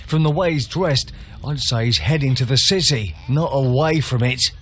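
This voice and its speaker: A man's voice: medium pitch, normal speed, fairly bright tone, a little hiss or hum, natural voice. A man playing a chatty, deferential junior camp guard with a folksy manner in a fantasy video game. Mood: gossipy, deferential.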